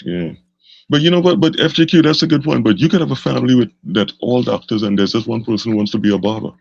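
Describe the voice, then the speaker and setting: A man co-hosting a live podcast, talking in an animated, motivational way as he pushes back. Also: mid-range voice, fast talker, vocal fry, slight background noise. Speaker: podcast co-host. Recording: dull lo-fi sound.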